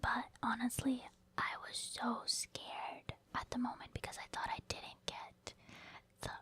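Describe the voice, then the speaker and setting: A woman giving casual, rambling game commentary in a soft, breathy ASMR whisper, with an encouraging manner. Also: fairly high pitch, monotone, measured pace. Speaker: gaming ASMR creator.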